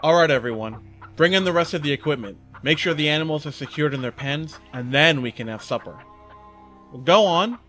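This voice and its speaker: A man playing a gruff, impatient farm overseer in an audio drama, curt and grumbling. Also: a little high, animated, brisk, fairly bright tone, faint music behind. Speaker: voice actor.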